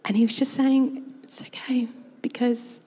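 A woman's voice: fairly high pitch, little pitch movement, unhurried, mellow, breathy edge. A woman, a church worship leader, candidly telling a personal story on a panel. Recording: long hall reverb.

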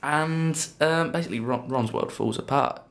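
Croaky, rambling young man. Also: a little high, normal speed. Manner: rambling, hesitant. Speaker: podcast guest. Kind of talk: casual film chat. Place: small studio.

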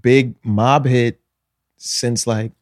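A man's voice: medium pitch, steady tone, extremely slow, darkish tone, no echo, studio quality. A man co-hosting a podcast, chatting casually and joking with his friends. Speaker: podcast co-host. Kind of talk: casual chat.

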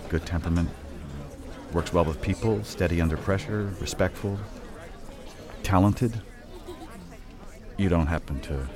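An actor playing an awkward, gruffly kind film director in a naturalistic audio drama, hemming and hesitant but blunt. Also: male voice, deep voice. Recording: outdoor urban scene with crowd bustle.

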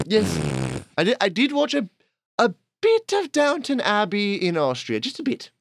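A grandiose llama aristocrat, voiced by a man holds forth in an affected posh accent, pompous and theatrical. His voice sits fairly high and swoops widely. The sound is dry and close. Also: wildly swooping pitch, normal speed, vocal fry, no echo, heavily acted. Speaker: guest host. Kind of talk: improvised comedy podcast character.

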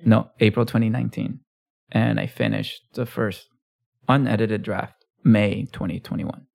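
A man who is a poet, talking about his writing in a relaxed interview, thoughtful and halting. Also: lowish voice, gravelly. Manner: thoughtful, halting, restarts phrases. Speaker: poet and author guest.

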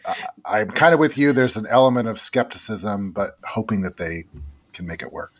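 Male podcast host, measured and friendly, casual chat. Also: fairly low pitch. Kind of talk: casual interview conversation.

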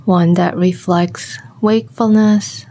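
A woman guiding a body scan meditation, speaking calmly and gently, giving unhurried instructions with long pauses. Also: fairly low pitch, a little hiss or hum. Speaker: meditation guide.

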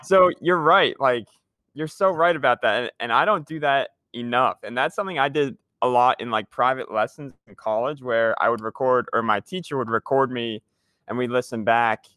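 A young man hosts a casual podcast, agreeing readily and scattering "like" and "man" through his sentences. His voice is smooth and resonant and sounds close and dry, with no room around it. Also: medium pitch, moderate pace, sharp tone, dry recording. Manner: agreeable, uses lots of fillers like "like" and "man". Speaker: podcast host, music teacher.

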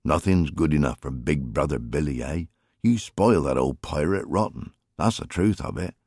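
A man's voice: extremely low pitch, moderate pace, creaky, darkish tone, slightly rough, no echo, exaggerated voice. A man voicing a good-natured fisherman husband in an audiobook, muttering dry, teasing banter. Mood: teasing, grumbling. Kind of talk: audiobook character acting.

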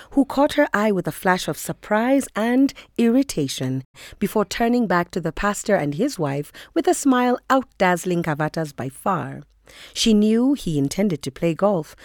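A woman's voice: mid-range voice, darkish tone, no room sound, clean studio sound. A woman reading scripted literary narration for audio fiction.

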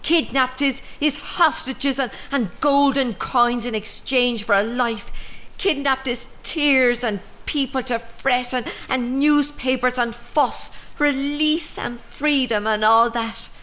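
A woman's voice: sits high, takes it slowly, bright, forceful tone, exaggerated voice. An actress with an Irish accent playing a stoic, sardonic mother in a radio drama, musing dryly. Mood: dreamy, musing. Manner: musing, repetitive, dry, lilting phrasing.

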